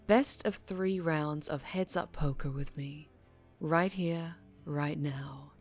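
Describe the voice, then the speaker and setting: A woman playing a dangerously charming female mafia boss in an ASMR roleplay, teasing and menacing, with deliberate purring delivery that drops toward a whisper. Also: sits low, darkish tone, soft background music, heavily acted. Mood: coolly bargaining. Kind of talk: ASMR roleplay audio drama, addressing the listener.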